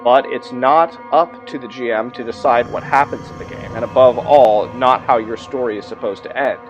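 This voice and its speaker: A man's voice: medium pitch, moderate pace, muffled recording. Man reading game lore over music. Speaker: game master presenting a tabletop roleplaying game. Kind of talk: reading aloud scripted game text with commentary. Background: music behind the voice.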